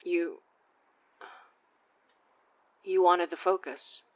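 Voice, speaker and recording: An actress playing a wary, blunt occult investigator in an audio horror drama, controlled and accusing. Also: female voice, on the low side, very slow, muffled recording. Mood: pressing, accusing.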